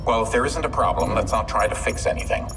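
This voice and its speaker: A woman's voice: rumbling low, expressive, quick pace, fairly bright tone. A woman playing an unruffled, pragmatic lab colleague in a naturalistic video game scene, recorded distant and echoing. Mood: calm, dismissive. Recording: distant, echoing, faint noise.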